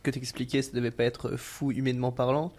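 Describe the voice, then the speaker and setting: A man hosting a casual podcast interview, gently putting his thoughts to his guest. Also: mid-range voice, flat delivery, a little fast. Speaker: podcast host.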